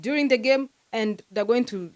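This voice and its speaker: A woman's voice: a little high, moderate pace, no echo. A woman hosting a football transfer news vlog, talking casually and animatedly to her viewers with lots of fillers, venting like a frustrated fan.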